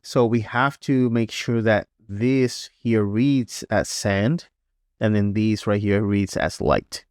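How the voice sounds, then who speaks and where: A man teaching a digital painting paintover, sounding congested and a little hoarse, talking casually with frequent "right?" checks and small restarts. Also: fairly low pitch, slow, a little mellow, dry recording, studio quality. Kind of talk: tutorial voice-over.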